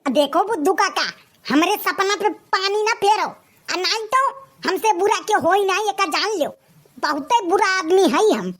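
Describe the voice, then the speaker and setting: A woman's voice: very high voice, expressive, moderate pace, a bit bright. A woman with an Awadhi accent voicing a scheming, boastful young male wannabe gangster in a comic cartoon, pushy and bragging. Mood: threatening.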